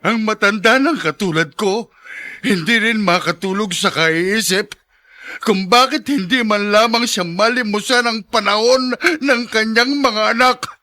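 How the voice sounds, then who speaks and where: Neglected elderly parent, very high piercing voice, reproachful, pleading, dry sound, radio drama. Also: male voice, measured pace, pushed and bright, dry recording. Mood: bitter, pleading. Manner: plaintive, reproachful. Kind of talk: Filipino radio drama acting.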